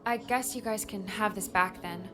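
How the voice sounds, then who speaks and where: Earnest young female adventurer, played by a woman, homesick, mid-range voice, audiobook drama over clear background music. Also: mid-range voice, moderate pace, lightly acted. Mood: grateful. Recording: music underneath.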